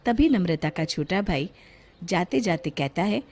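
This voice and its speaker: A woman narrating a children's cartoon story in a clear, even storytelling manner. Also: fairly low pitch, unhurried.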